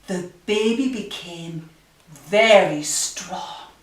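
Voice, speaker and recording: A woman reading a children's picture book aloud expressively, with dramatic emphasis and drawn-out key phrases. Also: lowish voice. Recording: roomy echo, distant microphone.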